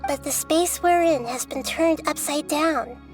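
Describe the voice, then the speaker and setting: A woman voicing a small, calm, wise childlike goddess in a video game, measured and gently explanatory. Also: high voice. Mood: thoughtful. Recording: music behind the voice.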